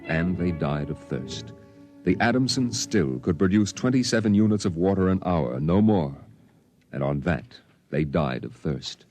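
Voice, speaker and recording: A man narrating in a measured, somber way as the grown boy recalls the story, in 1950s radio drama style, from an old broadcast with music beneath. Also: sits low, expressive, darkish tone.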